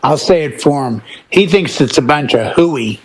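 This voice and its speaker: A man chatting casually as a DJ on a panel, telling stories in an opinionated, animated way. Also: fairly high pitch, normal speed, low-quality recording. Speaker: DJ and podcast panelist. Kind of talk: casual chat.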